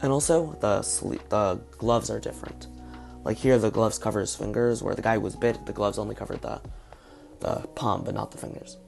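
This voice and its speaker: A man with a hoarse, partly lost voice, talking through his guesses in a casual video commentary. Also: on the low side, moderate pace, a little mellow, soft background music. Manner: speculative, thinking aloud. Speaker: video reviewer.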